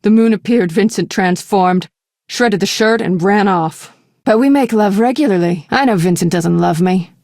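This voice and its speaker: An actress playing a streetwise escort in a fantasy video game, flirtatious yet tender, with a coy, sweet-talking manner. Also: female voice, medium pitch, moderate pace, a little mellow, dry recording, studio quality, lightly acted. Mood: worried, pleading.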